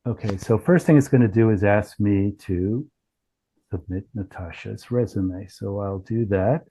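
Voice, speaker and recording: A man giving a casual software demonstration in a halting way, thinking aloud as he goes. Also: fairly low pitch, slightly rough. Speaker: company founder demonstrating software. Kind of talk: casual product demo.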